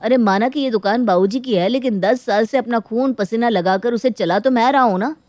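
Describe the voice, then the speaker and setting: A woman's voice: a little high, brisk, a little mellow, no room sound, lightly acted. A woman voicing a hardworking shopkeeper, the aggrieved elder brother in a dramatized moral story, earnest and protesting. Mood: indignant.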